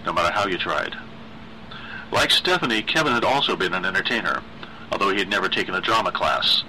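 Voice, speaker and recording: A man's voice: fairly low pitch, pushed and bright, a little hiss or hum, poor audio. A man reading a horror story aloud as a dramatic narrator.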